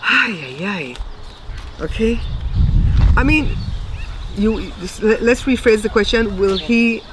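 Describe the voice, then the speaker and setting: A woman's voice: medium pitch, animated, takes it slowly. A middle-aged woman reading tarot on camera in a casual, colloquial, teasing way. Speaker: tarot reader and video host. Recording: noisy outdoor recording with animal sounds.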